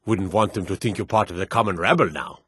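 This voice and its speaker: An actor playing a stern, dutiful fantasy lord and soldier in a video game, speaking in a formal, measured, authoritative way. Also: male voice, low voice, husky, no room sound, clean studio sound. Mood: courteous, formal. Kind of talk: video game character dialogue.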